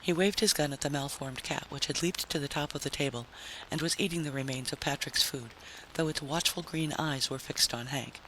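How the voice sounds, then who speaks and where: A man's voice: fairly high pitch, brisk, sharp tone. A man narrating a story in a dramatic, scripted vintage radio style. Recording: noisy vintage radio recording.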